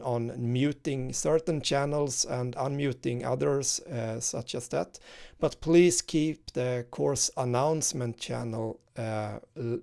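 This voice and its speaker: A Swedish-accented man in his thirties walks students through a course setup from a webcam recording. He talks at an unhurried pace with a gravelly edge, full of fillers and self-corrections. The mic sits close to his face in an indoor room. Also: mid-range voice, a little mellow. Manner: informal, halting, many fillers and restarts. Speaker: university course teacher. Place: indoor room, screen-share webcam inset.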